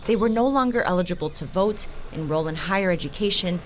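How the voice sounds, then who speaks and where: A woman's voice: fairly low pitch, animated, fairly quick, slight background noise. A woman reading scripted news documentary narration in the manner of a reporter.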